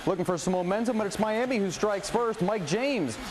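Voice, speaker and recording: A man working as a TV sports anchor, calling highlights in a punchy, colloquial, exclamatory way. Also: a little fast, background noise. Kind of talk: highlights commentary and scripted sportscast. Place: TV studio, voice-over to highlights.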